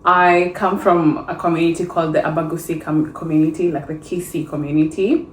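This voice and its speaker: A young Kenyan woman co-hosting a podcast, relaxed and playful, joking as she talks. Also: sits low, a little fast. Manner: relaxed, playful, laughs and jokes. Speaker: podcast co-host. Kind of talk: casual chat. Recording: roomy with faint background hiss.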